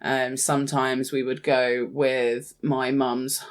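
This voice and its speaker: A woman's voice: rumbling low, little pitch movement, slow. A woman, talking casually as a podcast co-host. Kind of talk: casual chat.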